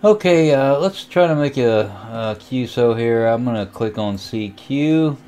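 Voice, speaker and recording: A man giving an informal, step-by-step hobbyist walkthrough of software and equipment. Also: medium pitch, lively intonation, a little slow. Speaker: amateur radio hobbyist giving a tutorial. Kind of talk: casual software and radio walkthrough.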